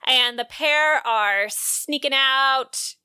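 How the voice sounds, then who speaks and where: A woman co-hosting a podcast, recapping a story conversationally with animated delivery and wry asides. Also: high voice, extremely slow, clean tone, no room sound. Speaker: podcast co-host.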